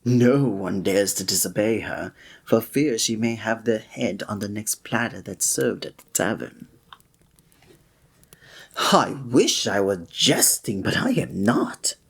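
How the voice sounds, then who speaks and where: A man playing a flirty, breathy innkeeper. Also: lowish voice, expressive, takes it slowly, heavily acted. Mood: amused. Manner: formal old-fashioned wording with chuckling asides. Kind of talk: ASMR roleplay.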